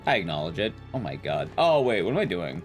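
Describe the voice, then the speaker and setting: A young man with a loud, carrying voice chatting casually with his viewers while streaming a game, rambling and restarting sentences as he goes. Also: a little fast, faint music behind. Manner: rambling, restarts sentences, lively rises and drops in pitch. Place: his room at home, soundproofed.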